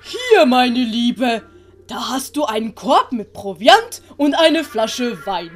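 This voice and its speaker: A woman voicing a fussy, kindly old male scholar in a comic audiobook, deliberate and hesitant. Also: a little high, very animated, soft background music, exaggerated voice. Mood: solicitous. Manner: deliberate, hesitant, fussily solicitous. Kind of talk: German audiobook commedia dell'arte acting.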